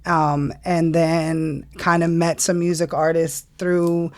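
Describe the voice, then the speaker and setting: A woman's voice: low voice, takes it slowly. A woman, a guest on a casual podcast, telling her story at an easy pace. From New Orleans, USA. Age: 40s. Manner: relaxed storytelling with laughs. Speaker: guest, music manager.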